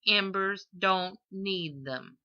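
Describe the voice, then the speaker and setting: A woman's voice: medium pitch, drawn-out words, muffled recording. A woman voicing an awkward, protective young father who speaks earnestly and hesitantly in an audiobook. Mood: stern.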